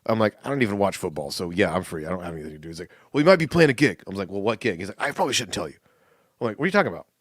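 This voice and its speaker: A man co-hosting a podcast in casual chat, telling animated stories, acting out quotes and laughing often. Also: fairly low pitch, very fast, dry recording, clean studio sound. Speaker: podcast co-host.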